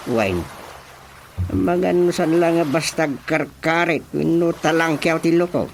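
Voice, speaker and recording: A grave village chairman in a radio drama. His voice sits fairly high, and he explains and admonishes with emphasis. There is faint noise in the background. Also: slow pace, creaky. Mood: grave, concerned. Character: male village chairman, earnest, grave local authority. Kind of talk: Filipino radio drama acting.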